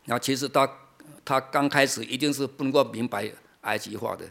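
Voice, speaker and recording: A middle-aged man from China preaching a sermon in short, explanatory phrases, pausing for an interpreter and posing rhetorical questions. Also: mid-range voice, vocal fry, fairly bright tone.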